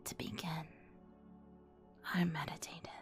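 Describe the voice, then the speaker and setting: A woman whispering a guided ASMR meditation in a soothing, deliberate, instructional manner. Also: deep voice, flat delivery. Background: music.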